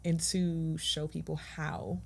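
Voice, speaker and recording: Woman therapist, low voice, measured and affirming, podcast guest. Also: flat delivery, vocal fry. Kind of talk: reflective podcast conversation.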